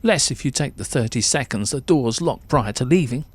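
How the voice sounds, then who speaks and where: A man's voice: medium pitch, lively intonation, moderate pace, slightly rough. A man narrating a suspenseful short story in the second person, with theatrical delivery.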